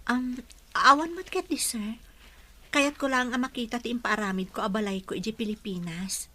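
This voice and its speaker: Bright, high-voiced Filipina. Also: female voice, moderate pace. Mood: hesitant, deferential. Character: modest, respectful Filipina working abroad for a widowed boss. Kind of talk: Ilocano radio drama acting.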